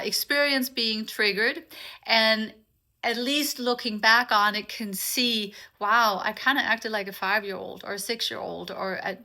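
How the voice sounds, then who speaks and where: A woman's voice: mid-range voice, pushed and bright. A woman from Denmark, a native Danish speaker, hosting an informal live online session as a meditation teacher, welcoming attendees and explaining things to them directly. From Copenhagen, Denmark.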